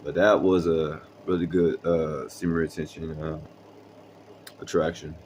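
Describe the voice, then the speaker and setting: Young man, very deep low voice, slangy rambling storyteller, faint background hum. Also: level tone, unhurried, darkish tone. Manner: rambling, slangy, many fillers and restarts. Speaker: vlogger. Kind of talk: casual storytelling.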